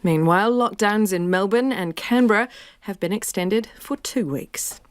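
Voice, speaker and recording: A woman reading the evening news bulletin in a measured, formal way. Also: medium pitch, clean tone, no echo. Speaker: newsreader.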